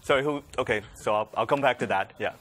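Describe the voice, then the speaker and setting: A man presenting at a technical conference, answering audience questions in a measured, conciliatory way. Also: mid-range voice, fairly bright tone. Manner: laughs easily, measured, conciliatory. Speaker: conference speaker.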